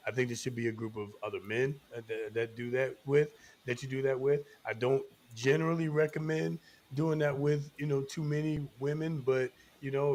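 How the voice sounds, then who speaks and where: A man's voice: medium pitch, darkish tone. A man co-hosting a casual discussion, explaining his opinion point by point. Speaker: podcast co-host.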